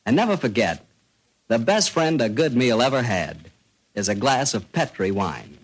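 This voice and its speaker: Male American announcer, vintage radio pitchman, mid-range, highly animated intonation, folksy delivery. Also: moderate pace, heavy background noise.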